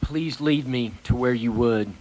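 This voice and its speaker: A man playing a shaken miser confronted with his past in a radio play, halting and theatrical in delivery. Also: medium pitch, a little mellow, lightly acted. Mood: wistful.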